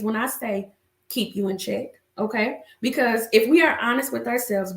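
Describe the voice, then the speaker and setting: A woman relationship coach chatting casually to a live audience and reading from her book, her voice a little raspy from a cough, with many restarts. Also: a little high, roomy sound.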